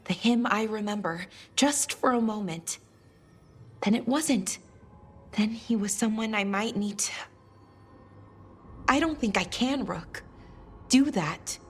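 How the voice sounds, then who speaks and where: A woman's voice: medium pitch, expressive, takes it slowly, pauses often, breathy edge. A woman acting an earnest, anxious young scholar in a video game, hesitant and adding trailing afterthoughts. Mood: troubled, uncertain.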